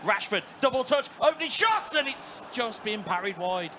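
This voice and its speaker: A man calling live esports football play by play, rapid and rising to shouts at big moments. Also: high voice, big pitch swings, background noise. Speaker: play-by-play commentator.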